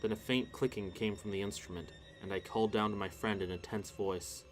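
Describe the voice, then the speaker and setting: A man's voice: lowish voice, fairly even intonation, brisk. A man narrating a horror story in the first person, solemn and theatrical. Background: music.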